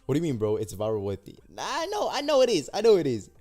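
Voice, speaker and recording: A man's voice: fairly high pitch, wildly swooping pitch, fast, a little mellow, faint music behind. A young man from Denmark, livestreaming as he demos an app builder, chatting with his viewers in slangy, hyped, repetitive talk. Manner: hyped, slangy, talks to chat, repeats himself. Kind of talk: casual livestream chat with viewers.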